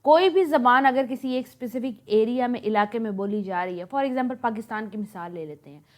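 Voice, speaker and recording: A woman's voice: on the high side, fairly quick. Pakistani urdu accent lady going over her lecture notes, repeats stuff w examples, mixes english terms in.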